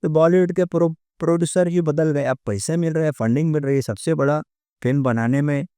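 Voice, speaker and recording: Smooth, clear-voiced Indian man explaining his views. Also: on the high side, fairly quick, dark tone, no echo, studio quality. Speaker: interview guest, author. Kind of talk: conversational opinion and explanation.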